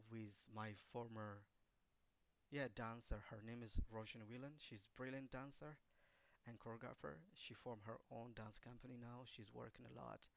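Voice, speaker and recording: A man recounts his dance project in a halting, searching way, with lots of fillers along the way. His voice has a gravelly, creaky edge. It sits at a middling pitch for a man. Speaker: choreographer and dancer being interviewed. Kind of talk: casual interview, recounting a creative project.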